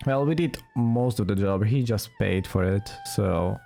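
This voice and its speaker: A slow, dark and mellow voice of a gamer commenting casually on a playthrough. The delivery is dry and teasing, with game music softly behind it. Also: medium pitch, gravelly. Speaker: let's play gamer.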